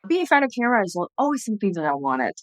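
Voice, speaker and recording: A woman's voice: medium pitch, big pitch swings, racing, dry recording, studio quality. A woman in her thirties who grew up speaking Chinese, talking with a Chinese accent as an interview guest on a video call, earnest and reflective with frequent restarts. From China. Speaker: guest, entrepreneur and former TV journalist. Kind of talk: casual interview conversation. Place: home room, indoors. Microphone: video call, webcam at desk distance.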